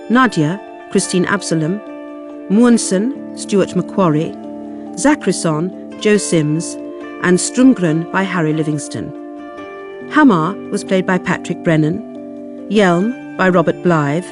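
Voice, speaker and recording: A broadcast announcer reads the closing credits of a radio drama. The delivery is scripted and orderly. Music plays clearly underneath. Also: mid-range voice.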